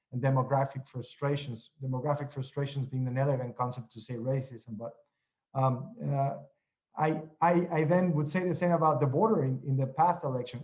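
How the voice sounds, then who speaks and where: A man with a Mexican accent, a journalist on an online panel, speaking in halting sentences full of restarts. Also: mid-range voice, poor audio. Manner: halting, many restarts and repeated words.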